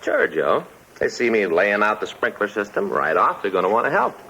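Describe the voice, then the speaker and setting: An American actor playing a chatty, folksy police detective's partner in a 1950s radio drama. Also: male voice, on the low side, sing-song, normal speed, bright, forceful tone, exaggerated voice. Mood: earnest, chatty. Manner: folksy, conversational. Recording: muffled 1950s radio recording, faint hiss.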